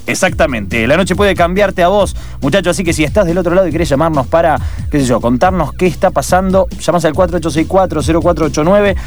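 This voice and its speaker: Higher-pitched actor playing a male radio host, Buenos Aires accent, chatty, late-night show, improvised radio play, music behind. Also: fast, hardly any pauses, close to an everyday voice. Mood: chatty, welcoming. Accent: Argentine, Buenos Aires.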